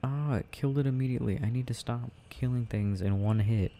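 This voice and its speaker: A man with a mellow, gravelly voice rambles through a video game in an ASMR style. He muses to himself haltingly and takes his time, with slight noise behind him. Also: mid-range voice. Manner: murmured, halting, musing to himself. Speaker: audio performer playing a boyfriend gaming.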